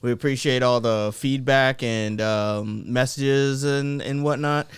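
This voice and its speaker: A man's voice: mid-range voice, steady tone, unhurried, clean tone, no room sound. A young man co-hosting a casual podcast, rambling and joking about himself with lots of fillers. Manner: rambling, self-deprecating, many fillers. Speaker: podcast co-host.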